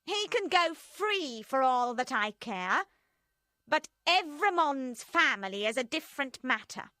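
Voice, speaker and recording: A woman's voice: high voice, sing-song, a little slow, sharp tone, dry recording, clean studio sound, voice pushed up, full character voice. Woman putting on a cold vengeful revolutionary woman. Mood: ominous.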